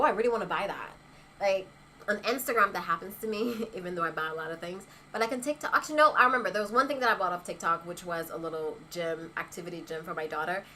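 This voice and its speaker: Chatty bright-voiced young woman livestreaming. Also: medium pitch, slight background noise, poor audio. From Haitian heritage. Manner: chatty, laughs often, rhetorical asides. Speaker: lifestyle influencer livestreaming. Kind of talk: casual livestream chat reading comments.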